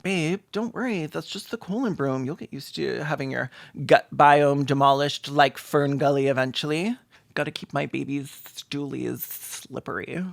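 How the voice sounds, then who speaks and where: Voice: mid-range voice, normal speed, vocal fry, no room sound, clean studio sound. A comedic commentator reacting to videos with sarcastic, campy, playful delivery and mocking asides. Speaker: YouTube host and commentator.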